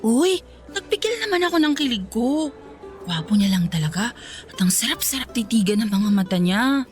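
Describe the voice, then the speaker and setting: A woman's voice: a little high, very animated, moderate pace, a little mellow, faint music behind. An actress playing a smitten, naive young provincial woman in a radio romance drama, girlish and giggly in manner. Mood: giddy, smitten.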